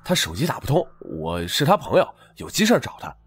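A man's voice: mid-range voice, wildly swooping pitch, a little fast, husky, faint music behind, heavily acted. A man playing an anxious, polite and insistent young caller in an audiobook drama. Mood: politely urgent.

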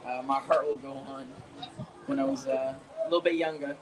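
A man's voice: mid-range voice, fairly even intonation, brisk. A young man, a band singer, chatting casually in a laid-back way as an interview guest. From United States, born in Iran and moved at three. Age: young adult. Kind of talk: casual chat. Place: outdoor terrace by a beach. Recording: noisy outdoor recording.

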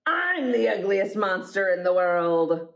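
A woman's voice: medium pitch, sing-song, slow pace. A woman voicing boastful, silly storybook monsters, exclaiming and drawing out words for emphasis. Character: boastful silly picture-book monsters competing to be ugliest. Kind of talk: storybook read-aloud.

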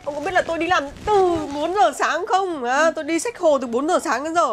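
A woman's voice: very high voice, brisk. A woman playing an exasperated wife scolding her drunk husband in a comedy skit, nagging with repeated rhetorical questions.

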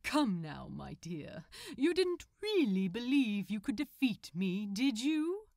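A woman's voice: on the high side, sing-song, takes it slowly, darkish tone, no echo, clean studio sound, full character voice. A woman voicing a polite, grandiose male supervillain scientist with formal diction in a story reading. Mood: smug. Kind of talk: fan fiction audiobook character.

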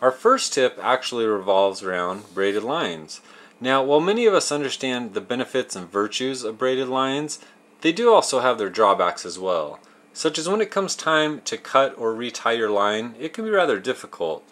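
Guy in his 30s doing a how-to voiceover, plain folksy explaining, average pitch. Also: very animated, normal speed, fairly bright tone. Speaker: host of a fishing tips show. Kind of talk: instructional how-to narration.

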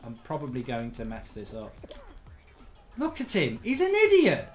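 A hoarse man in his thirties doing live, blunt, sweary commentary while streaming a game from a desk indoors. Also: medium pitch, wildly swooping pitch, normal speed, faint music behind. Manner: blunt, sweary, rambling banter.

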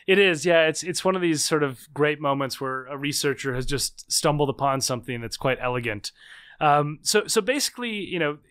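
A man in his thirties, a guest author in a conversational interview, explaining in quick, animated bursts with frequent restarts. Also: a little high, clean tone. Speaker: guest, political scientist and author.

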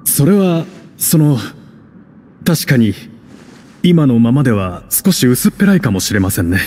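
An actor playing a middle-aged gentleman, a club chairman in an anime game, earnest, courteous and formal in his speech. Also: medium pitch, big pitch swings, a little slow. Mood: deferential. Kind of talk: Japanese anime game voice acting. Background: music.

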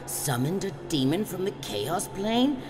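A woman's voice: on the low side, big pitch swings, measured pace. A woman voicing a grave otherworldly elder in a puppet fantasy drama, formal, solemn and reproving. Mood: stern reproach. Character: stern, grave otherworldly elder scolding failed guardians. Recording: long hall reverb, music underneath.

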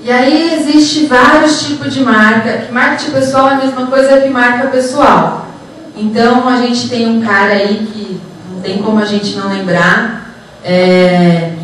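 Heard through heavy room echo and some noise, a woman talks to an auditorium. She is in her thirties and lectures informally on a handheld mic. She keeps it conversational, often tossing short check-in questions to the listeners. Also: medium pitch. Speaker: psychologist and HR professional giving a lecture. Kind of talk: informal motivational lecture to students. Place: auditorium, speaking to an audience. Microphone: handheld microphone through room speakers, distant recording. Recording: echoey hall from far off, noisy.

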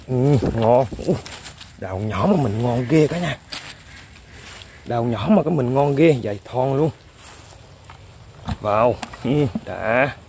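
A man, excitedly commenting to viewers while fishing on a riverbank. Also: medium pitch, slow, long pauses, a little hiss or hum. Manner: excitable, exclaims often, chuckles. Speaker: fishing vlogger. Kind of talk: casual outdoor commentary to viewers. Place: outdoors by a riverbank.

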